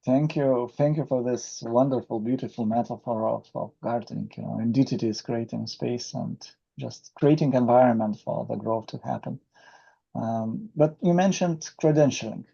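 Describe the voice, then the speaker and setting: A man hosting an interview, asking questions politely in halting phrases. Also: medium pitch, creaky, mellow, husky. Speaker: host. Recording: dull lo-fi recording.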